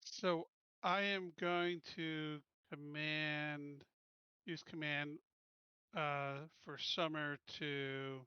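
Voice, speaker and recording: A man with a fairly high, crisp voice talks casually as a tabletop player. His tone is bright, recorded dry with a slightly dull top end. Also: little pitch movement, drawn-out words, dry recording. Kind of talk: casual tabletop game chat.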